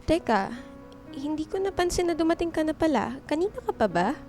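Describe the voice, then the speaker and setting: High-voiced Filipino career woman. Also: big pitch swings, brisk, darkish tone, soft background music. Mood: soft, affectionate. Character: strict, hardworking career woman, affectionate girlfriend. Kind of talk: Filipino radio drama acting.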